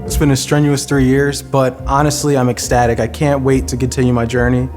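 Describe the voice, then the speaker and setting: A man's voice: mid-range voice, level tone, brisk. A man, a graduating student, speaking earnestly in a testimonial. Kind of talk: interview testimonial. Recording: music bed underneath.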